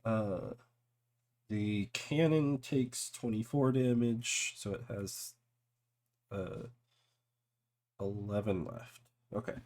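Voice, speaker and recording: A man running a tabletop fantasy game casually as game master, halting as he thinks. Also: on the low side, a little mellow.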